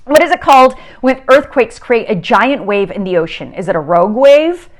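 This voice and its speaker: A woman's voice: on the high side, expressive, normal speed. Chatty upbeat woman meteorologist, middle-aged. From South America. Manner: chatty and upbeat, many filler "uh"s and rambling restarts. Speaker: TV meteorologist teaching a kids' lesson. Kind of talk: casual home-studio science lesson. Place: home.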